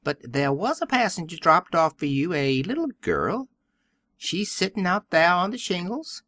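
Brisk, jaunty male station master for a storybook, played by a woman; offhand, very animated delivery, lowered pitch.

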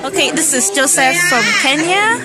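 A woman's voice: high-pitched, lively intonation, moderate pace, loud music behind the voice. A young woman vlogging casually and playfully as she introduces classmates, giggly and teasing. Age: young adult. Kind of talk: casual, playful chat among friends. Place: busy indoor school lobby. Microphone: handheld phone. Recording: loud crowd chatter around the voice. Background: loud chatter of classmates.

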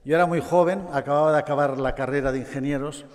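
An older man from Catalonia giving a formal award acceptance speech in a measured manner with touches of humor. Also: a little high, steady tone, darkish tone. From Catalonia, Spain. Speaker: award recipient. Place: large gala hall. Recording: long reverb of a hall.

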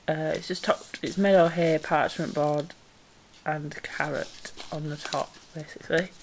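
A woman's voice: sits low, darkish tone, a little hiss or hum, poor audio. A woman chatting casually as she unboxes pet supplies at home, a little congested from a cold and rambling with ums. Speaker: pet vlogger. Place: indoors at home, camera pointed down into a box.

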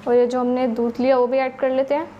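A woman's voice: high voice, flat delivery, fairly quick, highs cut off, a little hiss or hum. A woman hosting a home cooking channel with a Pakistani Urdu accent, patiently explaining each recipe step to her viewers.

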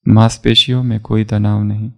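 A man guiding a relaxation meditation as an instructor, giving calm, measured instructions with long pauses. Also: fairly low pitch, vocal fry. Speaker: yoga nidra meditation instructor.

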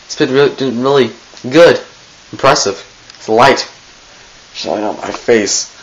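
A man's voice: medium pitch, a little slow, dull audio, a little hiss or hum. Goofy rambling teenage boy on a webcam. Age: teens. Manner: goofy, rambling, self-interrupting. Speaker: vlogger. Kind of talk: rambling webcam birthday message. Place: small bedroom indoors. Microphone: webcam close to face.

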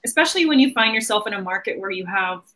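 A woman's voice: mid-range voice, expressive, fast, bright, forceful tone. A woman in her thirties hosting a livestream, chatting casually and informally. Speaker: host of a marketing livestream. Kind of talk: casual conversational interview. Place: small home office indoors.

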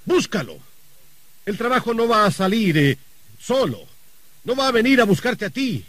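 Anxious, stern older uncle, swooping intonation. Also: male voice, sits high, sing-song, normal speed, fairly bright tone, dry recording, full character voice. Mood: agitated. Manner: hesitant, muttering, dramatic. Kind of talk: radio drama acting.

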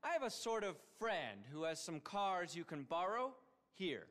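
An actor playing an eccentric, egotistical, paranoid tech billionaire with a pompous keynote-style delivery in a video game. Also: male voice, high-pitched, big pitch swings, measured pace, fairly bright tone, exaggerated voice. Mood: grandiose. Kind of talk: video game cutscene acting. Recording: long reverb of a cavernous hall.

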